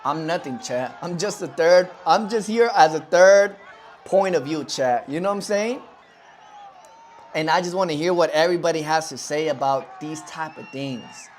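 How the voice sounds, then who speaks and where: A man's voice: a little high, moderate pace, some chatter behind, slight background noise. A man whose first language is Spanish, hosting a casual livestream and talking loosely to his chat with lots of fillers. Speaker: livestream host.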